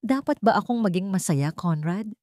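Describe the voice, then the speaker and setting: A woman's voice: medium pitch, expressive, normal speed, a little mellow, dry recording, studio quality, lightly acted. A woman voicing a betrayed young fiancée, wounded and resolute, speaking emotionally in an audio love drama. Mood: bitter anger. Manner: emotional, confrontational.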